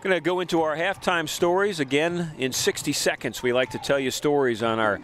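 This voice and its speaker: A man giving brisk, informative play-by-play commentary on a college basketball game. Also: on the high side, noisy background. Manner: brisk, informative, names players quickly. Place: college gym broadcast booth.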